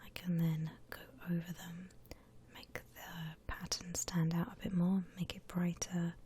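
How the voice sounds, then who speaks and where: Slow, low whispering woman, ASMR. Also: level tone. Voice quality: breathy, unvoiced whisper. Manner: gentle, unhurried explaining. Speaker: host of an ASMR drawing channel. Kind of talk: whispered ASMR art tutorial. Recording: faint background noise.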